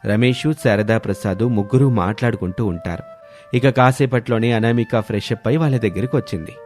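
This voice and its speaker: A man's voice: on the low side, fairly quick, darkish tone. A man narrating a scripted family story as its storyteller. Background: music.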